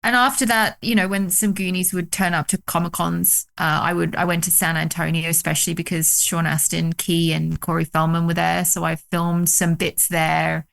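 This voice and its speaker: A woman from the UK, a documentary director, talking excitedly about her film on a video call, with many fillers. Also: fairly low pitch, normal speed, vocal fry, few pauses. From United Kingdom. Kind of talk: casual interview chat.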